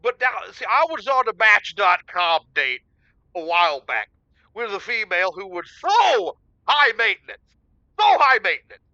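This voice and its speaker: A man's voice: high-pitched, sing-song, normal speed, pushed and bright, no echo, highs cut off, exaggerated voice. A man playing a pompous, aggrieved self-styled alpha male who rants and lectures as a caller on a comedy radio show. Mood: smug. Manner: self-important, ranting, lecturing. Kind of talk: comedic radio call-in acting.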